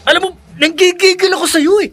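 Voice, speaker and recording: High, sharp-voiced bullying Filipino son. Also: male voice, steady tone, quick pace, soft background music. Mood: menacing, furious. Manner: taunting, whiny when told to work. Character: spiteful, lazy, bullying young son and half-brother. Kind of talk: Filipino radio drama acting.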